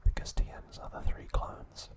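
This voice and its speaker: A man hosting ASMR, whispering a recap in short, hushed phrases with pauses between them. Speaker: ASMR video host.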